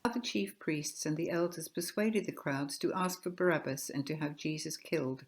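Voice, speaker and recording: A woman's voice: deep voice, normal speed. A woman narrating scripture from a script, recorded at home.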